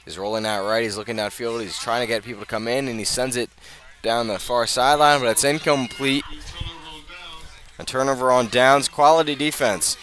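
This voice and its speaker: An American man calling live high school football on the radio, measured between plays and emphatic on the big ones. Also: steady tone, a bit bright, a little hiss or hum. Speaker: play-by-play announcer.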